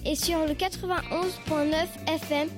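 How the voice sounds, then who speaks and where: A young woman announces the show jingle in a singsong way, her voice very high. Loud music plays under her voice. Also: steady tone, normal speed. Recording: voice over loud music bed.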